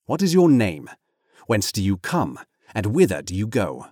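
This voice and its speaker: A man's voice: lowish voice, wildly swooping pitch, normal speed, darkish tone, dry recording, clean studio sound. A man voicing a stern, grave captain from a storybook, judicious and commanding, with formal archaic phrasing. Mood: stern questioning.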